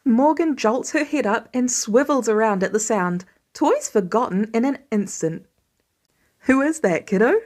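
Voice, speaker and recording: A woman's voice: on the high side, moderate pace, hardly any pauses, darkish tone. Woman reading a story aloud expressively. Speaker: podfic reader. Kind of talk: expressive audiobook-style narration of fan fiction.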